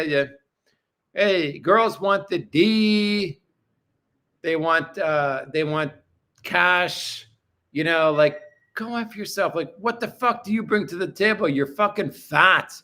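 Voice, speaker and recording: A man in his forties talks casually on a livestream from his apartment, answering viewers' comments. His voice is a little high and bright, with a forceful edge. He is crude and blunt, with plenty of swearing. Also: expressive, unhurried, clean tone. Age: 40s. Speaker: livestream host.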